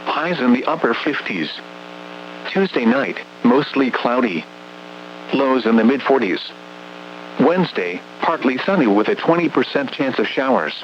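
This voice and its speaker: A male announcer reading a scripted weather bulletin over the radio in a formal, measured, impersonal way. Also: medium pitch, expressive. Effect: radio broadcast. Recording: muffled, noisy weather radio broadcast with hum.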